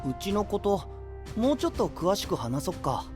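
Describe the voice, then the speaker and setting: Lively, breezy young knight. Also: male voice, sits high, normal speed, music in the background. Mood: casual. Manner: casual, loose, easygoing speech. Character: breezy, carefree, friendly young male knight. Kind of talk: Japanese anime audio drama acting.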